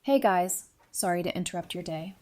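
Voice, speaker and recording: A woman playing a warm, antsy young newlywed in an audio drama, talking casually and affectionately. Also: lowish voice, expressive, moderate pace, a little hiss or hum, natural voice. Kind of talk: fan audio drama acting.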